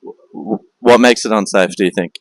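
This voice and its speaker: A man's voice: fairly low pitch, fairly quick, dry recording. A man conducting street interviews, asking short questions with some hesitant fillers. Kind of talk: street vox-pop interview.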